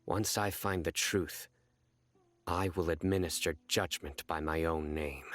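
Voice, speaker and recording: An actor playing a stern, principled exiled enforcer in an anime game, speaking formally and gravely. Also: male voice, low voice, steady tone, measured pace, a bit bright. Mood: resolute.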